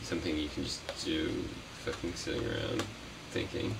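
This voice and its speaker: A man being interviewed as a puppeteer, chatting casually in a halting, rambling way that often trails off. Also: a bass voice, sing-song, vocal fry. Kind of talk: casual unscripted interview chat. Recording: noisy, distant mic.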